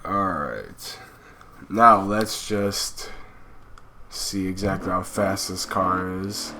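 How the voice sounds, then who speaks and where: A man's voice: deep voice, extremely slow, bright, forceful tone, a little hiss or hum. A young man with a cold commenting casually as he plays a racing game, rambling with fillers. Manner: laid-back, rambling with fillers. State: stuffy with a cold. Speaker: gaming channel host.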